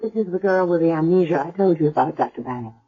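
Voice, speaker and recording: A woman's voice: low voice, expressive, fast talker, slightly rough. An actress playing a self-assured female hospital doctor with dry, pointed retorts in a 1970s radio drama. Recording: dull old radio broadcast.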